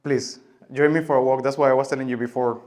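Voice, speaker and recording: Man giving a halting tech talk. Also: mid-range voice, steady tone. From Venezuela. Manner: halting, many filler sounds and restarts, loudness rising and falling. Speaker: conference speaker. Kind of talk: conference talk.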